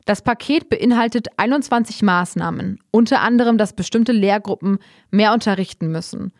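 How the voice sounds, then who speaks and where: A woman host reads short news items for a podcast in a steady, read-aloud manner. Her voice sits at an ordinary middle pitch. The sound is dry and close, with no room around it. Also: moderate pace, dry recording. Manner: even, read-aloud delivery. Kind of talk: scripted news reading, then interview.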